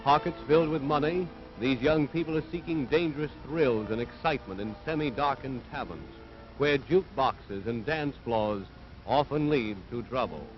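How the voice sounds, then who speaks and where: A man's voice: medium pitch, normal speed, music in the background. An American man delivering scripted 1940s propaganda film narration in an earnest, authoritative, moralizing manner. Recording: dull vintage 1940s film soundtrack.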